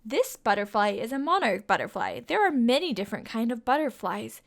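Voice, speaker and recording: Lively, sing-song woman reading to kids. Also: on the high side, brisk. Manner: sing-song, coaxing questions to young listeners. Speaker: children's storytime reader. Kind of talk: reading a picture book aloud to children.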